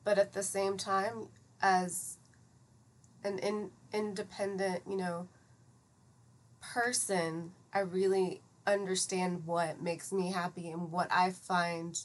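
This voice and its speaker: A woman in her thirties from the United States, talking reflectively and in measured explanations as a guest in a conversational interview. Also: fairly low pitch, level tone. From United States (Atlanta, Georgia). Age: 30s. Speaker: podcast guest, psychiatric pharmacist.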